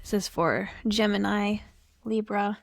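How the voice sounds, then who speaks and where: A slightly hoarse woman giving a casual tarot reading to camera, chatty and reflective, thinking aloud as she goes. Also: mid-range voice, steady tone, measured pace. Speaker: tarot reader.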